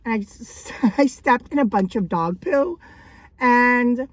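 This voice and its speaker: A woman vlogging casually about her thrift finds, her voice raspy from days of coughing, rambling and laughing between thoughts. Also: fairly high pitch, lively intonation, takes it slowly. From Canada. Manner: chatty and rambling, frequent likes and laughs. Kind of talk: casual thrift haul vlog.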